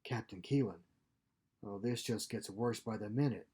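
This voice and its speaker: A man's voice: on the low side, moderate pace, dark tone, breathy edge. A man reading the part of a wary, sardonic young female fugitive in a science fiction story. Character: anxious, sharp-tongued young female fugitive in space.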